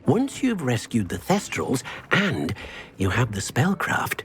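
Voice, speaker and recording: A man's voice: mid-range voice, huge pitch swings, slow, fairly bright tone, heavily acted. An actor voicing an elderly, courteous male house-elf devoted to creatures in a video game, speaking earnestly and a little hesitantly. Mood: pleased, helpful. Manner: refers to himself in third person, hesitant. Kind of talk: video game character dialogue.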